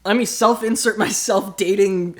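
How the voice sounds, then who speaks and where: A man's voice: high-pitched, steady tone, normal speed. A man co-hosting an improv comedy podcast, bantering casually in a dry, sarcastic way.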